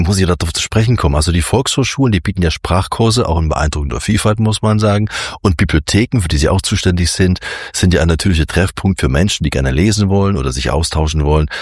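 A man's voice: low voice, slightly rough, dry recording, studio quality. A man hosting a radio show, presenting from a script and putting questions to a guest. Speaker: radio show host and interviewer.

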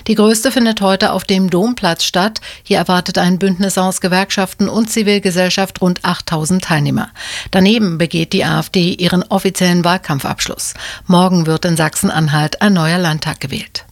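A woman presenting the radio news, reading in a crisp, even delivery. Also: lowish voice, normal speed, no echo, studio quality.

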